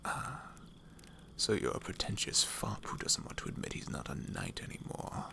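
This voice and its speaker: A man's voice: normal speed, lightly acted. Man acting a whispering, menacing hardened killer. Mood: scornful. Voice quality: breathy, gravelly near-whisper. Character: menacing, hardened male killer who has known starvation. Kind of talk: ASMR fantasy audio roleplay.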